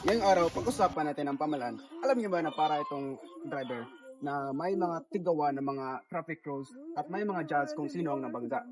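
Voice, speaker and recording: A man's voice: a little high, expressive, fairly quick. A man with a Filipino accent hosting an explainer video, addressing viewers like a class. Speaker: video host. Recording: crowd chatter behind the voice.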